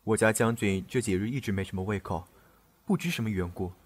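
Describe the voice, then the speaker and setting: A man's voice: lowish voice, fairly quick, natural voice. A man playing a deferential, caring soldier who attends his general in an ancient Chinese audio drama. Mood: concerned, deferential. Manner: deferential, solicitous.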